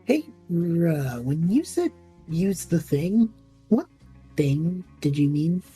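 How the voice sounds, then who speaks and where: Woman voicing a low, flippant young guy student. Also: very animated, takes it slowly, warm tone, breathy edge, faint music behind, full character voice. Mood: sheepish. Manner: casual, flippant. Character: cocky, careless young male student Trevor, flippant schemer. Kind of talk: audio drama acting.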